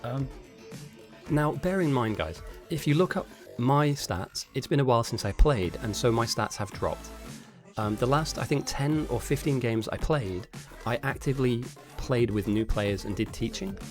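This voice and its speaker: A man with a hoarse voice, casting and chatting casually on a live game stream, rambling a little and answering chat. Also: medium pitch, lively intonation, moderate pace, music in the background. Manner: chatty and rambling, with frequent restarts. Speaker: esports caster and streamer.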